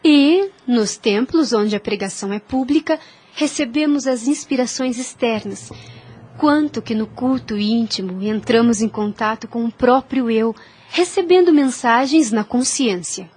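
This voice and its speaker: A high-pitched, gentle and devout young woman played by an actress in an audio drama. She speaks formally and earnestly, like a teacher of faith, in measured phrases. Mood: reassuring.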